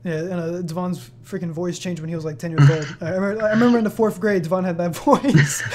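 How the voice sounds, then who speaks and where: A man's voice: high voice, fairly even intonation, brisk, a little mellow. A seventeen-year-old young man hosting a casual question-and-answer chat on his channel, rambling with many fillers and laughs. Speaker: channel host.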